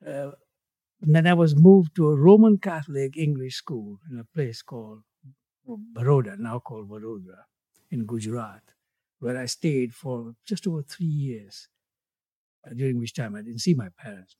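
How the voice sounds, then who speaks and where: A man in his eighties from Tanzania, a guest in an interview, speaking in a measured way with his voice falling away at the ends of phrases. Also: medium pitch, warm tone, husky, dry recording. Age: 80s. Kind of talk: reflective interview conversation.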